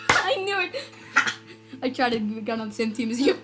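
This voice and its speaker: Young female gamer, high and lively voice, chatty and excitable, game music clearly underneath. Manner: excitable, fast chatter with many "like" and "dude". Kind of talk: casual gameplay chat.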